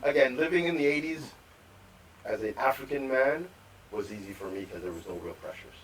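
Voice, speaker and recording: A man co-hosting a live podcast, telling rambling stories about growing up, with repeated words and animated quotes. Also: medium pitch, fairly quick, a little hiss or hum. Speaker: podcast co-host. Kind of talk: storytelling in casual chat. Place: live podcast recording venue. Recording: distant mic with faint room ambience.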